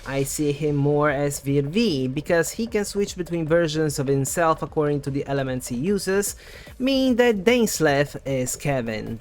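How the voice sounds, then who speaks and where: A man presenting scripted game theory commentary in a conversational, explanatory way, as the host of a video essay. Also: a little high. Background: background music.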